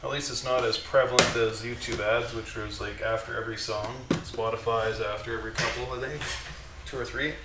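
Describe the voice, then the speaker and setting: A man with a low voice hosting a live build stream, thinking aloud as he works at his bench, with halting restarts. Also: fairly even intonation, heavy background noise. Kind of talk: casual live workshop commentary. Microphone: condenser mic set away from him. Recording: distant, roomy mic with music playing. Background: music from a streaming app.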